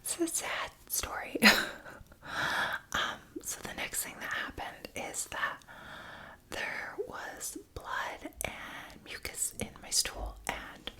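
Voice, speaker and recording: A woman's voice: takes it slowly, sharp tone. A young woman whispering a long personal story in a chatty, self-mocking way.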